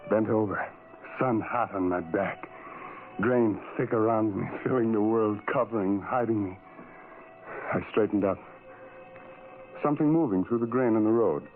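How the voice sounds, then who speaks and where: An actor playing a lonely, tormented man in a radio horror drama, with a brooding, halting, intense confessional delivery. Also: fairly low pitch, natural voice. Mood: brooding, wary. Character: lonely, tormented, self-loathing man who hides from people. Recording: tinny old radio recording, music behind.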